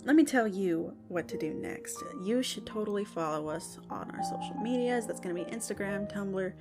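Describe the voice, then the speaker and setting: Lively, chatty woman host over music. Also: medium pitch, normal speed. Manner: playful, addresses listeners like friends. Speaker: podcast host. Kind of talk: casual, chatty audio drama outro. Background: prominent music.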